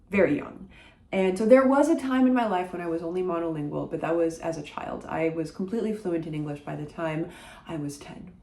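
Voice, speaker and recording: A woman whose first language is French talks casually to camera about a book she has read, thoughtful and often pausing on fillers. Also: fairly low pitch, mellow. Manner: thoughtful, hesitant, many uh fillers. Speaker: book vlogger. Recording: roomy echo.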